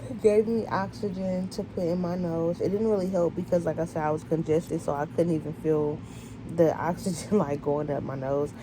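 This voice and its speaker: A woman's voice: low voice, steady tone, normal speed, noisy background. A young woman with a stuffy nose, candidly telling her birth story in a casual vlog, full of "like" and restarts. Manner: candid, conversational, filler-heavy. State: congested. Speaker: vlogger.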